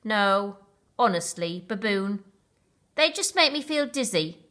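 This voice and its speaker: Gloomy storybook rhino, a woman acting: self-conscious grumbler, forceful bright tone, big swings in pitch. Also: expressive, takes it slowly. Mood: exasperated. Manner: complaining, emphatic. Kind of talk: children's storybook reading.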